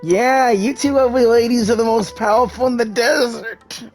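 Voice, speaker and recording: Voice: medium pitch, steady tone, normal speed, over music. A gamer giving casual, enthusiastic commentary and talking back to the game's characters. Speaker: gaming content creator. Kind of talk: casual reactive game commentary.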